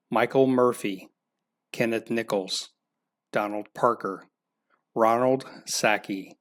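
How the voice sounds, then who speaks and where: A man's voice: medium pitch, a bit bright. A man reading out a list of names formally for a memorial roll call.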